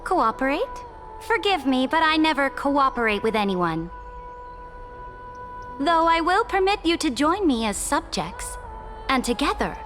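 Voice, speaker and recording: Fantasy game empress: high-voiced, sweeping intonation, grand and commanding, looks down on everyone. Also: female voice, very animated, a little slow, long pauses, fairly bright tone, faint music behind, full character voice. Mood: haughty. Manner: lofty, formal, commanding, condescending. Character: haughty, imperious empress, regal lawgiver and conqueror. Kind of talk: theatrical video game acting.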